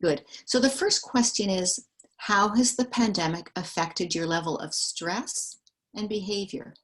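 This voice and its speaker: A woman conducting a structured survey interview, calmly reading questions and summarizing the answers back. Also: medium pitch, measured pace. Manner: calm, methodical, reads questions and summaries back.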